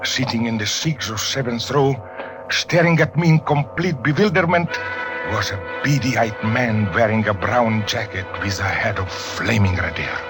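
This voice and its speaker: An actor playing a desperate, menacing opera singer turned murderer in a vintage radio thriller, with dramatic, deliberate, formal phrasing. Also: male voice, fairly low pitch, natural voice. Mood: tense narration. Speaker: actor and first-person narrator. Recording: vintage radio recording with music.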